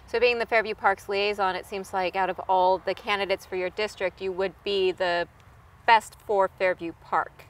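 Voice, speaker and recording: A woman's voice: mid-range voice, fairly even intonation, moderate pace, distant mic. An American woman conducting a conversational local interview outdoors, asking questions as the interviewer. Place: outdoors in a park.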